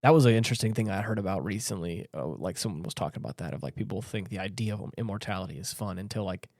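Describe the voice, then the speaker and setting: A man's voice: low-pitched, fast talker, no room sound, clean studio sound. A man co-hosting a casual discussion podcast, talking emphatically and often repeating phrases as he works out his thoughts. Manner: animated, emphatic, repeats himself while working out thoughts. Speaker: podcast co-host.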